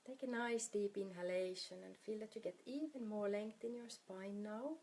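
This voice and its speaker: A woman leading a guided meditation in a soft, soothing, unhurried manner, her breathy voice often trailing off toward a whisper. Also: mid-range voice, a little hiss or hum.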